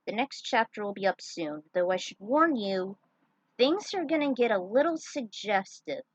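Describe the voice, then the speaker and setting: A woman's voice: mid-range voice, animated, normal speed, no room sound, rough recording. A woman reading her own fan fiction and adding casual, opinionated commentary as its writer.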